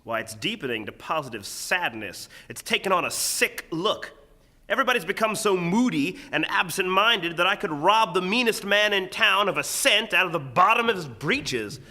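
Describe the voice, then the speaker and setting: A folksy, mocking small-town wag played by a man in a stage radio play. His voice sits high for a man and is very bright and forceful, with an exclamatory, showy delivery. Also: expressive, moderate pace, exaggerated voice. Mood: wry observing. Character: Jack Halliday, mocking small-town joker who notices everything. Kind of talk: theatrical live radio drama acting.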